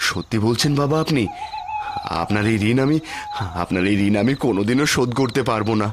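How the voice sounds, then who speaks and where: Plaintive, expressive poor village vendor acted by a man. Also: mid-range voice, brisk, background noise, lightly acted. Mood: humble. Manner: pleading, plaintive. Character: poor, despairing village street-food seller and father.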